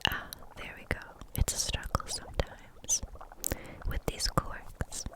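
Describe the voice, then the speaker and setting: A woman playing a gentle, soothing kitchen witch in a whispered ASMR roleplay, speaking softly and breathily with a caring manner. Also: lightly acted. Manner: soft, slow, caring, unhurried.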